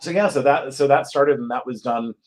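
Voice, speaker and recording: A man from Connecticut, a playwright, answering interview questions casually in a thoughtful, halting way with many pauses. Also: mid-range voice, darkish tone. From Connecticut, United States. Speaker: guest playwright.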